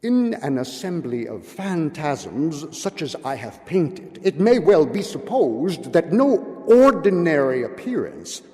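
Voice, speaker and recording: A man giving a dramatic, theatrical reading of gothic horror, with drawn-out words, pauses and hushed drops. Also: fairly high pitch. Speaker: literary reader. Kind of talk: dramatic literary recitation of a gothic horror tale. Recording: long hall-like reverb.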